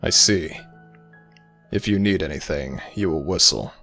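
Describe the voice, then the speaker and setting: An ancient god of the dead, played by a man in an intimate audio roleplay. His voice is extremely deep and gravelly, with a forceful edge. He speaks in formal, measured phrases, gruff yet protective. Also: faint music behind, full character voice. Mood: soothing, reassuring. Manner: formal, measured, archaic phrasing, addressing a listener as child. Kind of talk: ASMR audio roleplay, slow intimate dramatic monologue.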